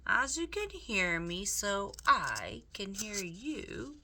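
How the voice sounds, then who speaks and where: Woman putting on a suave, scheming storybook rat gentleman. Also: medium pitch, big pitch swings, slow pace, pitched above the performer's own voice, exaggerated voice. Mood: persuasive, scheming. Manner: theatrical, formal, ingratiating speech. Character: suave, scheming male rat, courtly and flattering. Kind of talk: storybook character read aloud.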